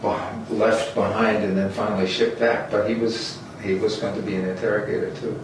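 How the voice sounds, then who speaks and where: A man's voice: lowish voice, little pitch movement, normal speed. A man interviewing an older scholar, asking questions casually with restarts. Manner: conversational, rambling questions with restarts. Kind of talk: oral history interview. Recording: roomy, distant, faint hiss.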